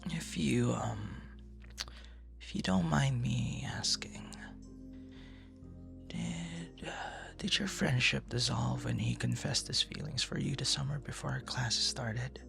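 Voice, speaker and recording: A man performing an ASMR roleplay as an earnest, easily flustered young stranger, talking conversationally with hesitant repeats and hushed asides. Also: medium pitch, gravelly, music in the background. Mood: tentative, gentle. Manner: hesitant, halting, soft-spoken asides, repeats words.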